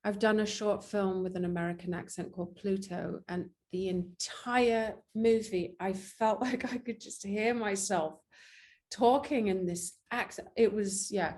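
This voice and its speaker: A woman's voice: mid-range voice, fairly even intonation, measured pace, a little mellow. An English actress in a casual interview, speaking candidly and a little self-deprecatingly about her work. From England. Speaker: actress and guest.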